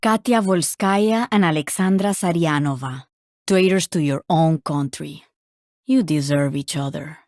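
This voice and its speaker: A woman's voice: deep voice, a little slow, a little mellow, no room sound, studio quality. A woman playing a bitter, accusing video game character with a cold, scornful manner.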